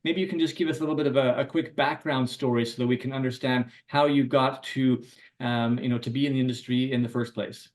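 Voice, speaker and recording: A man's voice: medium pitch, steady tone, fast, echoey room, far from the mic. A man hosting a podcast, eager and talkative, circling his questions with fillers. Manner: enthusiastic, rambling with fillers and restarts. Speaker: podcast host. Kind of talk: casual podcast interview.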